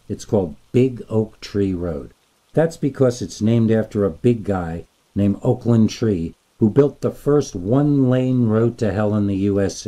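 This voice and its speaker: A man reading a scripted scary story as narrator, in a dramatic, deliberate storytelling manner. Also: fairly low pitch, mellow.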